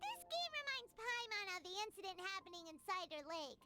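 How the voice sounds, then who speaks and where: A woman voicing an excitable, gleeful little girl game character with a squeaky, childlike voice. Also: a little fast, very noisy. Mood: musing. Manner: gleeful, exclamatory. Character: excitable little girl and her tiny fairy companion. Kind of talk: video game character acting.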